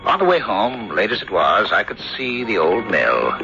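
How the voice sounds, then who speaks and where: A man playing a sober, sceptical country doctor who narrates a ghost mystery in measured, earnest radio drama style. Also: fairly low pitch, sing-song. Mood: grave, brooding. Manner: measured, earnest, theatrical storytelling. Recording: dull vintage radio broadcast, music underneath.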